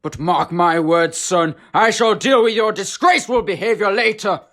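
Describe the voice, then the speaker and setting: A woman's voice: mid-range voice, normal speed, fairly bright tone, close to an everyday voice. A woman playing a stern, formal upper-class father.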